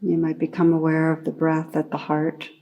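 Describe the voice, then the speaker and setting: A woman meditation teacher leading a guided meditation in a calm, unhurried way, gently instructing with long pauses. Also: sits low, dull audio.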